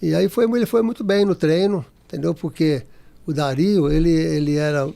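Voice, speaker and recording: A Brazilian man in his sixties, a former footballer, being interviewed in casual talk, halting, with many restarts. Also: a little high, gravelly, warm tone. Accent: Brazilian Portuguese.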